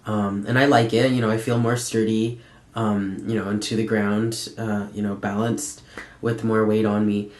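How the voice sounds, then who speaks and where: A young man giving a casual, candid personal update in a conversational, halting way with frequent pauses. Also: fairly low pitch, little pitch movement, darkish tone. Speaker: vlogger. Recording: echoey room sound.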